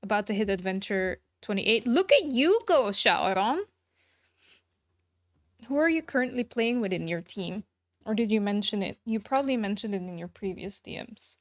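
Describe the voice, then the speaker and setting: A woman's voice: mid-range voice, lively intonation, normal speed, no room sound. A woman game streamer chatting casually with her viewers. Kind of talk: casual livestream chat. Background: soft background music.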